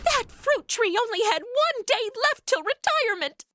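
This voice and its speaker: An actress playing a sassy young modern influencer in a comedic audio drama, snarky and argumentative with casual slang. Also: female voice, very high-pitched, sing-song, normal speed, pushed and bright, no echo, studio quality, exaggerated voice. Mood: sarcastic.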